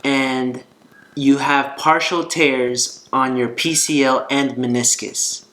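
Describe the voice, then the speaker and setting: A man's voice: medium pitch, fairly even intonation, slow, a bit bright. A man vlogging in a casual, conversational and earnest way, telling a personal story. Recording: roomy echo, faint guitar music behind.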